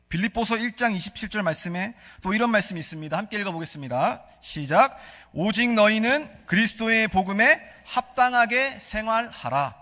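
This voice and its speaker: High, bright Gyeongsang-accented preacher in echoing church. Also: male voice. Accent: Gyeongsang Korean. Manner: earnest preaching, asks rhetorical questions and leads congregation in reading aloud. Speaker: pastor. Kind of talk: sermon. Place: church sanctuary. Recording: long reverb of a church hall.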